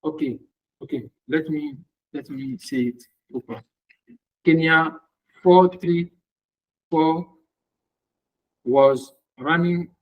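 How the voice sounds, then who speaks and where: Male language student, slightly high voice, unhurried halting delivery, repeating words as he answers exercises. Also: lo-fi sound. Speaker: student, air traffic controller.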